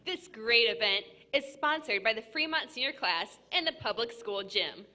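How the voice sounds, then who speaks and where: A woman's voice: on the high side, lively intonation, normal speed, pushed and bright. A woman playing a 1940s local radio news announcer, declaiming formally. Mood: bright, declamatory.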